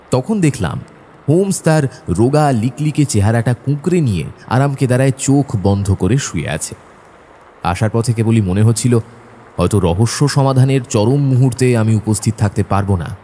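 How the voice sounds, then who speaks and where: A man playing an earnest, admiring doctor who narrates an audio drama in a measured storytelling way. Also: medium pitch, warm tone, a little hiss or hum, lightly acted. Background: faint background music.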